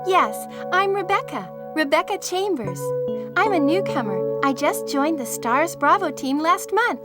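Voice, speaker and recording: High-voiced eager young rookie medic, apologetic, game cutscene over loud music. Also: female voice, expressive, unhurried. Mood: earnest. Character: young female rookie field medic, earnest. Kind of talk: 1990s video game cutscene.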